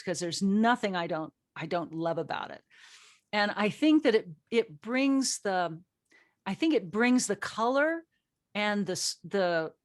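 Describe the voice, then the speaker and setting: A woman's voice: mid-range voice, no room sound, studio quality. A woman hosting a casual group interview as a show's writer, joking in a playful, self-deprecating way. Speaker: host and writer of an audio drama.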